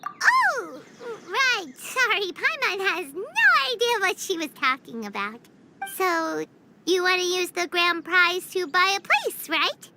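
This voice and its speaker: A woman voicing a tiny, perky, blunt childlike fairy companion in a video game, squeaky and excitable. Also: slow pace, very noisy, full character voice. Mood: curious. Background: music.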